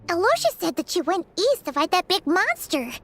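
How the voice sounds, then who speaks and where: Tiny childlike fairy companion, squeaky high, lively swooping intonation, chirpy, earnest, video game, music behind. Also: female voice, normal speed, slight background noise, heavily acted. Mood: pondering. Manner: refers to herself by name in third person.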